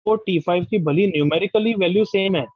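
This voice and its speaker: A man teaching an online class, drilling his students with quick questions. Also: sits high, no room sound, highs cut off. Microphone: video call.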